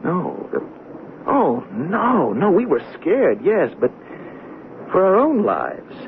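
An actor playing a defensive, bitter businessman in a 1970s radio mystery, speaking in a halting, confessional way. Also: high voice, huge pitch swings, phone-quality sound, exaggerated voice. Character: defensive, bitter businessman, adulterous train commuter. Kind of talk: 1970s radio drama acting. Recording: thin, faintly noisy 1970s radio broadcast.

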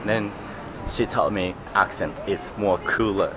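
A man in his forties with a Japanese accent, hosting a vlog in casual, halting English from a busy station concourse, camera at arm's length. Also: low-pitched, very noisy. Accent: Japanese, with some Australian. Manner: halting non-native English with fillers. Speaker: vlog host. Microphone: camera held at arm's length. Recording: music playing under the voice.

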